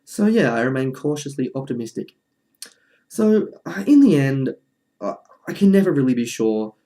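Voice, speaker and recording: A man's voice: mid-range voice, sing-song, normal speed, mellow. A man presenting casual film commentary in an informal, chatty, slightly wry way. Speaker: presenter of a film review video essay.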